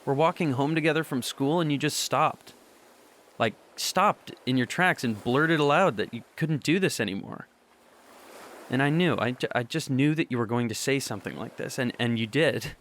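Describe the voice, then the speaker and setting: A young man acting a lovesick, anxious teenage high school boy in an audio drama monologue, speaking haltingly and confessionally. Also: fairly bright tone, slight background noise, natural voice. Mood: confused, anxious.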